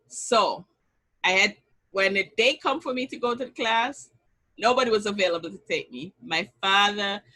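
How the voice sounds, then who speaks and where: A middle-aged Jamaican woman welcomes people to an online event and slips into chatty personal stories. Her voice is bright and sits at an ordinary middle pitch. She keeps an upbeat, friendly flow. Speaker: summit host. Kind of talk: casual online event introduction and storytelling.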